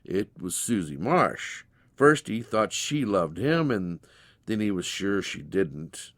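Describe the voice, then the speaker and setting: A man's voice: lowish voice, no room sound. A man narrating a dramatized storybook tale in a folksy storytelling manner.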